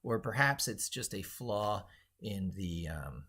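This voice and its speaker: A man with a low voice hosts a casual live stream. He talks through what he is doing on screen in a rambling way, thinking aloud as he goes. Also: lively intonation, takes it slowly, bright, forceful tone, breathy edge. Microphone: speaking into a microphone.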